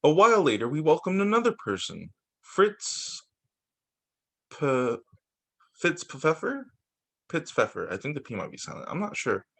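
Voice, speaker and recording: A man's voice: on the high side, huge pitch swings, measured pace, vocal fry, pauses often. A man reading a children's book aloud as a teacher, occasionally stumbling, repeating phrases and adding casual asides. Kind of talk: children's story time read-aloud.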